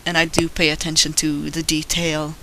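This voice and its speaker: A man's voice: on the high side, completely flat delivery, normal speed, bright, forceful tone, a little hiss or hum, poor audio. A man with a Swedish accent talks casually while he draws, rambling, self-deprecating and swearing now and then. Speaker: digital artist narrating his drawing. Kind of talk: casual commentary over a drawing. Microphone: voice-over recorded while drawing.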